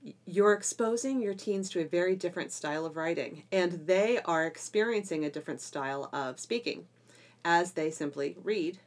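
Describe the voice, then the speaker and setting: A woman with a slightly low-pitched voice talks casually as a podcast co-host. She sounds like an enthusiast explaining something she enjoys, in an informal conversational style. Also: animated, moderate pace. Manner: enthusiastic, self-described geeky. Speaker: podcast co-host and drama teacher. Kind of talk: casual podcast chat about homeschooling.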